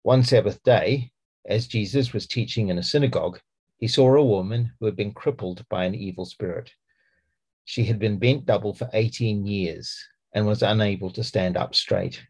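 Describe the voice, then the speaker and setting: Husky New Zealand man reading scripture. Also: lowish voice, normal speed, darkish tone. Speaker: church speaker.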